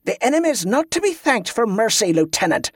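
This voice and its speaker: A man's voice: high-pitched, lively intonation, moderate pace, no room sound, studio quality, pitched above the performer's own voice. A man voicing a stern, scornful female military commander who gives clipped orders in inverted dialect phrasing, in a fantasy audiobook. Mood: stern, bitter.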